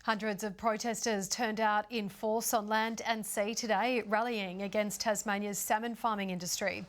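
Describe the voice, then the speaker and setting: A woman's voice: mid-range voice, steady tone, moderate pace. Woman reading the evening news. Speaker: news presenter.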